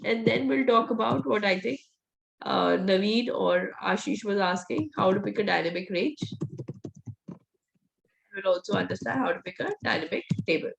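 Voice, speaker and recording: A woman leads a coding class in a hoarse, fading voice with some gravel in it. Her delivery is direct and coaching, at a middle pitch. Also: moderate pace, a little hiss or hum, rough recording. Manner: direct, coaching, laughs often. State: voice nearly gone, hoarse. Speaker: VBA trainer. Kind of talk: live online class, teaching and answering questions.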